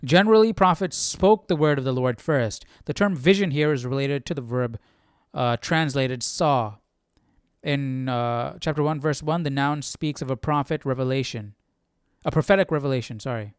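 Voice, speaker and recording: A man's voice: medium pitch, moderate pace, smooth, no room sound, studio quality. A man hosting a casual Bible study, reading aloud and explaining in an informal way, stumbling and correcting himself. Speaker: Bible study livestream host.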